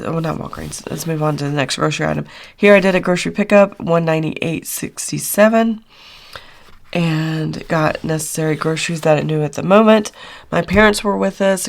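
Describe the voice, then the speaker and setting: A middle-aged woman, hoarse after losing her voice, chatting casually through her weekly budget check-in with lots of fillers. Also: low-pitched. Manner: chatty, rambling with many fillers. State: hoarse, recovering from lost voice. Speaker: budgeting channel host.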